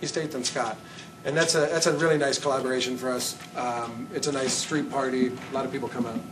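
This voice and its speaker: A man's voice: medium pitch, steady tone, fast. A man from Iowa, a conga player, answering interview questions in a chatty, easygoing way. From Iowa, USA. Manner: chatty and laughing easily. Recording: distant mic with clear background noise.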